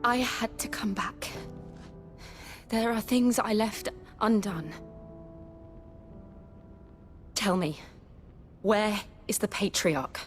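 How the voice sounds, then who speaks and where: An actress playing a resolute young woman outlaw in a fantasy game, speaking with measured, earnest intensity. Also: mid-range voice, faint music behind, natural voice. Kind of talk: dramatic fantasy game acting.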